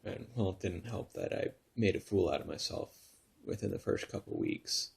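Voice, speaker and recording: A man in his thirties from Northern California who tells his own story in a soft, halting way, full of pauses and restarts, sometimes close to a whisper. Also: low-pitched, gravelly, slightly rough. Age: 30s. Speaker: vlogger telling his story. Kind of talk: personal confessional vlog.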